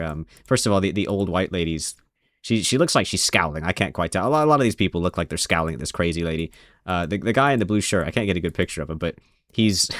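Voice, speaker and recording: Low-voiced, rambling sarcastic man. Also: no echo, clean studio sound. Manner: rambling, sarcastic, many restarts. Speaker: video commentator. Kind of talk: casual commentary over video clips.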